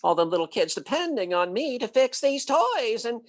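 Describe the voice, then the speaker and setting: A woman's voice: on the high side, big pitch swings, moderate pace. A woman playing a blustering, bumbling, easily flustered husband with a stammering, folksy manner in an old-time radio comedy. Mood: flustered, grumbling.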